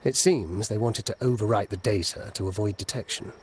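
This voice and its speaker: Man acting a low-voiced, self-assured tech expert. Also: a little fast, darkish tone, lightly acted. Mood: confident. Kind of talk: dramatic video game voice acting. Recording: noisy, night insect ambience behind.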